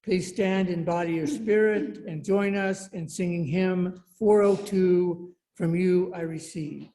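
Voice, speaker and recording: A woman leading a church service, giving announcements and reading a meditation in a measured, deliberate way. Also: lowish voice, fairly even intonation, mellow, low-quality recording. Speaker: worship service leader.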